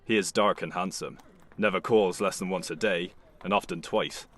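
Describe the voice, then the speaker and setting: A gruff young coachman with a lowish voice and a working-class London accent. He is cheeky, blunt and colloquial, in audio drama style. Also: normal speed, a bit bright. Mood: gossipy.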